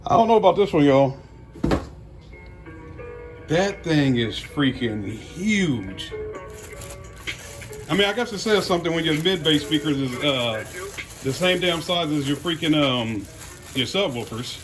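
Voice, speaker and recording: A man's voice: a little high, expressive, measured pace, pauses often, music in the background, low-quality recording. An American man giving casual unboxing commentary as a car audio vlogger, talking in a folksy, slangy way.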